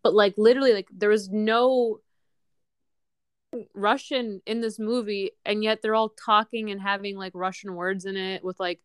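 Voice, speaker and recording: A woman's voice: medium pitch, steady tone, moderate pace, smooth, no echo, studio quality. A woman co-hosting a casual podcast chat, talking bluntly and filling her sentences with "like". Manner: blunt, peppered with "like". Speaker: podcast co-host.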